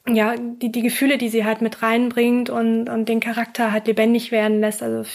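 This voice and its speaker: A woman's voice: a little high, completely flat delivery, brisk, vocal fry, a little mellow. A woman co-hosting a podcast in casual chat about games, hesitant with many fillers. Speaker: podcast co-host.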